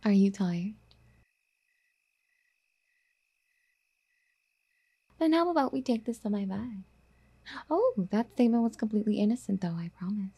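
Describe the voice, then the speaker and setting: A woman playing a doting, possessive rich girlfriend in an ASMR roleplay, soft-spoken and coaxing, often slipping into whispers. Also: medium pitch, lively intonation, a little fast, slightly rough. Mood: coaxing, sheepish.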